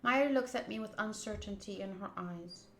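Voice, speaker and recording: A woman streamer doing a game playthrough, reading the text aloud and commenting in an expressive, emphatic way. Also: medium pitch, moderate pace. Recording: roomy echo, game music behind. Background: soft game music.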